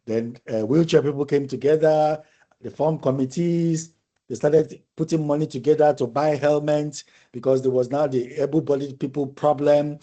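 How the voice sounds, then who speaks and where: A man's voice: a little high, steady tone, moderate pace, warm tone. A man lecturing a university class in a conversational discussion, thoughtful and repeating phrases as he explains.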